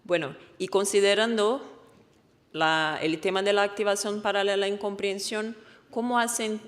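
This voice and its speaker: A woman, a native Portuguese speaker with a Portuguese accent, gives a research presentation in an explanatory, halting way, often correcting herself. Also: medium pitch. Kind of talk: conference presentation. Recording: echoey hall with long reverb.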